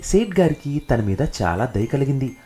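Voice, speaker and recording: A man's voice: mid-range voice, big pitch swings, normal speed, mellow, husky. A man narrating a scripted children's story. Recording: music behind the narration.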